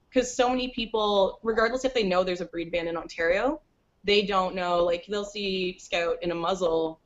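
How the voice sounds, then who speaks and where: A woman chats as a podcast guest, her words tumbling out quickly and sprinkled with "like." Her voice sits fairly low, and the call audio sounds muffled and lo-fi. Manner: quick, chatty, peppered with "like". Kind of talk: casual interview chat.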